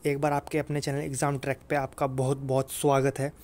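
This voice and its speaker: A man's voice: mid-range voice, completely flat delivery, a little fast, a little mellow. A young man casually explaining exam and job details to students in a chatty way, often checking in with them. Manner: chatty, keeps asking "theek hai na bhai". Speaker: exam coaching YouTuber.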